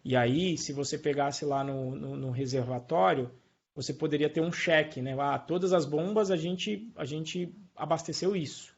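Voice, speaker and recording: A Brazilian man gives an informal live programming lesson in a fairly high, gravelly voice. He explains in a colloquial way and often checks that his listeners follow. The recording sounds dull and lo-fi. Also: fairly even intonation, moderate pace. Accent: Brazilian Portuguese. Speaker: programming teacher. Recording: dull lo-fi online stream.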